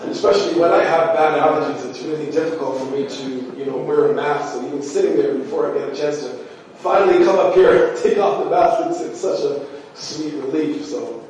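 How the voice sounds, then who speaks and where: A man's voice: a little high, a little fast, darkish tone, slight background noise. A man preaching a sermon, his voice nasal and stuffy from allergies, chatty and full of "you know" fillers. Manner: conversational, heavy use of "you know" fillers. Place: church sanctuary. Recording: very echoey church from far off.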